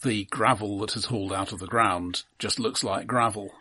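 A man's voice: fairly low pitch, normal speed, sharp tone, dry recording. A man co-hosting a casual film discussion in a matter-of-fact way. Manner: matter-of-fact, interjects corrections. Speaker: film podcast host.

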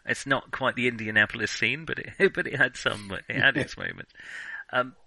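A man's voice: medium pitch, a little fast, vocal fry, pushed and bright, dry recording, rough recording. A man co-hosting a film podcast, chatting casually through a review in a hesitant, chuckling way as he thinks aloud.

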